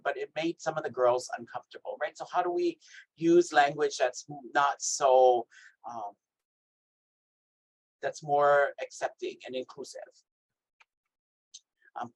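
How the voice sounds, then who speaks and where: A man from Hawaii with a naturally high voice, giving an emphatic workshop talk over a video call and checking in with "right?". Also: rough recording. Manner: lively, emphatic, asks "right?" often. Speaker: health equity trainer. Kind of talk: workshop presentation.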